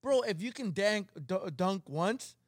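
High-voiced man with lively reactions. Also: measured pace, no room sound, clean studio sound. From Canada. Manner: eager listener, laughs often, lively reactions. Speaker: podcast co-host. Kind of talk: casual podcast chat.

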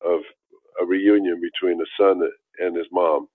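Brief: older man, very low voice, rambling storyteller, heard over a tinny phone-like line. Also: fairly even intonation, moderate pace, breathy edge. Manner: rambling, with many restarts and fillers. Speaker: guest telling his life story. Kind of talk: casual storytelling interview.

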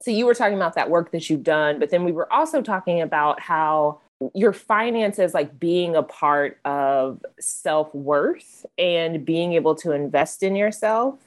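A woman's voice: on the low side, lively intonation, measured pace. A woman hosting a podcast interview as a financial coach, chatting in an encouraging way and laughing easily with her guest. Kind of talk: conversational interview.

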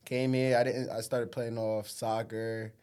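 A young man born in Africa and raised in Connecticut, a college football player telling stories in a laid-back, halting, slangy way as a podcast guest. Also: medium pitch, level tone, a little mellow, clear, resonant tone. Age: young adult. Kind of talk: casual chat.

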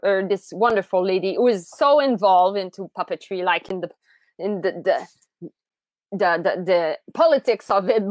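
A French Canadian woman from Montreal, a native French speaker, hosting a live podcast, enthusiastic and halting, with many restarts. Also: mid-range voice, no echo. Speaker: podcast host. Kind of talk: live-streamed interview podcast.

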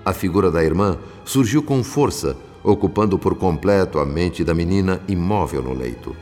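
An actor playing a solemn, compassionate spirit mentor, narrating a radio drama in formal, measured phrases. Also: male voice, deep voice, lively intonation, a little mellow. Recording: long reverb, music underneath.

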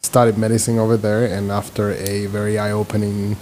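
A middle-aged man from the Dominican Republic, a guest physician answering interview questions in a relaxed, thoughtful way with many pauses. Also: on the low side, slight background noise. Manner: relaxed, thoughtful, with frequent um fillers.

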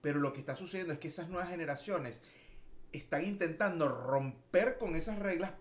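A man's voice: on the high side, a little fast, reverberant. A man from Caracas with a Venezuelan accent, co-hosting a casual podcast chat and emphatically acting out quoted voices. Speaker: podcast co-host.

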